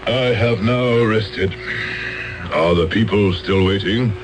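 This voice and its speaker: A man's voice: takes it slowly, echoey room, over music. An actor playing a charismatic, domineering male cult preacher who gives commanding speeches in a 1970s radio drama. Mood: solemn. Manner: booming, commanding oratory.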